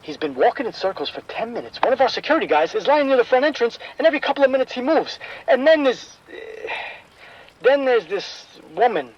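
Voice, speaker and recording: A man's voice: extremely high pitch, big pitch swings, brisk, highs cut off, a little hiss or hum. An actor playing a terrified nightclub DJ trapped in his booth, stammering and panicked, in a radio drama. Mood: shaken.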